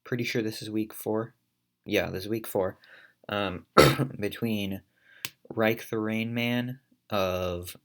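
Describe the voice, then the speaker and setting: A man commentating a competitive online battle in a casual play-by-play, congested from allergies, coughing and thinking aloud as he goes. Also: lowish voice. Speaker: league commentator.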